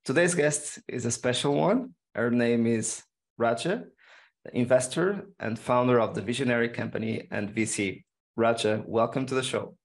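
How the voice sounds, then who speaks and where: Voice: medium pitch, moderate pace, vocal fry. A podcast host doing an interview, speaking in hesitant phrases with some repeated words.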